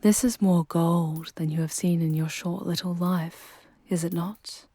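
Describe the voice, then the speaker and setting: A woman playing a charming, protective female demon guide in an intimate ASMR roleplay, with soft breathy delivery and formal, measured diction. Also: fairly low pitch, steady tone, dry recording. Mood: hushed, teasing. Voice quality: soft, breathy, often whispery.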